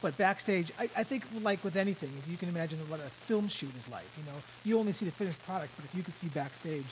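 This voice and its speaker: A man's voice: on the high side, lively intonation, quick pace, noisy background. A man who sings tenor chatting in a radio interview in a friendly, rambling way. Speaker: opera tenor guest. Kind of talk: interview chat.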